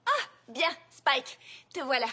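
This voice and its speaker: Earnest young unicorn pony, high, expressive, a touch formal. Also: female voice, takes it slowly, heavy background noise, exaggerated voice. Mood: composed. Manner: earnest, articulate, a bit formal. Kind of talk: French cartoon dub. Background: cartoon music.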